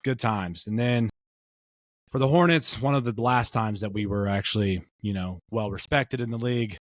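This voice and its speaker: A man's voice: lowish voice, moderate pace, no echo, studio quality. A man in his late thirties co-hosting a casual podcast chat, rambling with many fillers and restarts. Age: 30s. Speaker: podcast co-host.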